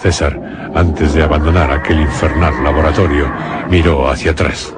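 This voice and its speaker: A man's voice: very deep voice, steady tone, normal speed, heavy background noise. A man narrating a scripted suspense radio play in a solemn storytelling manner. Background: prominent dramatic music.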